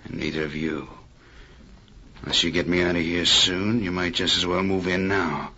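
An actor playing a desperate, bitter jailed outlaw who threatens in rough slang, in old-time radio drama style. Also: male voice, low voice, little pitch movement, moderate pace, pushed and bright, lo-fi sound, heavily acted. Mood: menacing. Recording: noisy, band-limited old radio recording.

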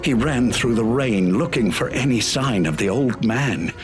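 A man's voice: medium pitch, lively intonation, a little slow, soft background music. A man reading dramatic scripted narration as the narrator of a radio drama.

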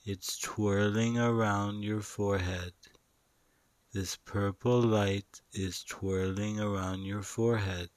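A man with a lowish voice leads a guided meditation at a very slow pace. He gives soothing instructions in short phrases with pauses between them. The recording is dry and close, with little room sound. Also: fairly even intonation, no echo.

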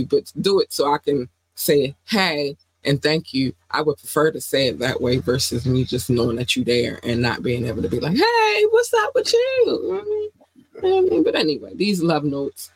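A woman co-hosting a casual podcast, talking in an animated, playful way, with slang and phrases she repeats for effect. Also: low-pitched, breathy edge, dry recording, low-quality recording. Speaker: podcast co-host.

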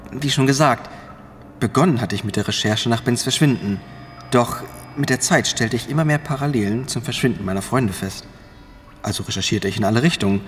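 An actor in a German audio drama playing a grave, guilt-ridden middle-aged man who tells his story in halting, ominous phrases. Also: medium pitch, a little hiss or hum, close to an everyday voice. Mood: grave, earnest.

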